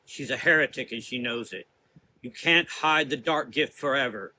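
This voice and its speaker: A man playing a menacing, cerebral gothic sorcerer in an audio drama, lecturing deliberately in drawn-out, taunting phrases. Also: medium pitch, pushed and bright, slight background noise, low-quality recording. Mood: threatening.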